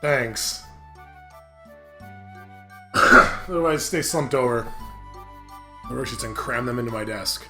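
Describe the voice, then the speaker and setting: A man's voice: mid-range voice, slow, pauses often, pushed and bright. A man hosting a gaming show, giving casual, joking let's-play commentary with exclamations, swearing and mock drama. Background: video game music.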